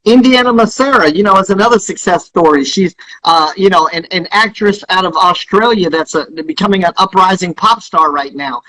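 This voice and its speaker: A man's voice: high-pitched, fairly quick, hardly any pauses, pushed and bright, poor audio. A middle-aged man speaking emphatically as an interview guest, urging listeners on and repeating himself for stress. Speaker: guest, music industry founder. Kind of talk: live interview, motivational business advice.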